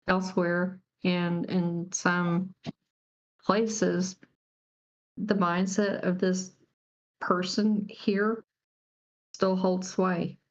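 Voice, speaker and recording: A woman from the United States co-hosting a casual podcast discussion in a matter-of-fact way, on a slightly dull, lo-fi microphone. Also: mid-range voice. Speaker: podcast co-host.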